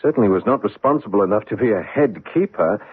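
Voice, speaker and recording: An actor playing a polite gentleman's son in a radio drama, earnest, hesitant and anxious. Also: on the low side, animated, quick pace, breathy edge, dry recording. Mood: puzzled. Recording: muffled vintage radio broadcast, dry sound.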